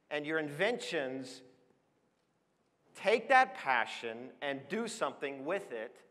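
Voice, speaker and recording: An American man in his forties speaks from a stage as a conference presenter. His voice is fairly high and very bright, with an emphatic, enthusiastic delivery that addresses listeners directly. Long hall reverb trails his words. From Switzerland, then Oregon. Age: 40s. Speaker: conference speaker, advertising professional. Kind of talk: motivational business presentation to an audience. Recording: long echo of a large hall.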